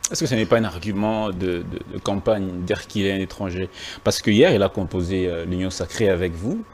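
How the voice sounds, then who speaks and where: Male host, deep voice, presses with pointed questions; slight background noise. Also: fairly quick, a little mellow. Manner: challenging, presses with follow-ups. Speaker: interviewer. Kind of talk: probing interview questions.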